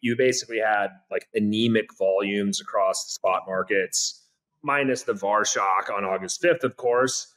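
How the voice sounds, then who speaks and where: A man's voice: on the low side, moderate pace, a bit bright. A man giving casual market commentary as a podcast co-host.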